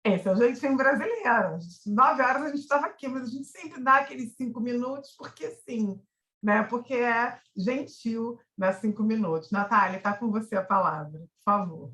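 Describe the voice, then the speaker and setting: A woman's voice: on the high side, moderate pace, fairly bright tone. A Brazilian woman moderating an online panel, introducing speakers with friendly, joking asides. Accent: Brazilian Portuguese. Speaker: event moderator. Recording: echoey room.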